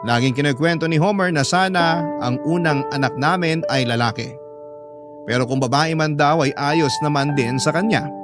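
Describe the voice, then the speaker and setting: A man's voice: a little high, normal speed, natural voice. A man narrating as an earnest, sentimental letter writer confiding a love story in a dramatic first-person radio style. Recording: music bed under narration.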